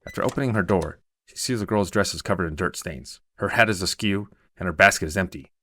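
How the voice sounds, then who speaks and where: A man's voice: low-pitched, quick pace, sharp tone. A man narrating a scripted short story as a storyteller.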